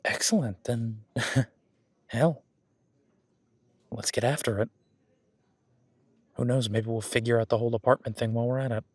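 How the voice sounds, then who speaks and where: A man's voice: mid-range voice, steady tone, a little slow, pauses often, breathy edge, dry recording. Man playing easygoing werewolf boyfriend, close dry sound. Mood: warm, upbeat. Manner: casual, encouraging, rambling. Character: friendly, easygoing male werewolf boyfriend coaching at gym. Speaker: gym partner giving workout guidance. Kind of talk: roleplay audio drama, one-sided casual conversation.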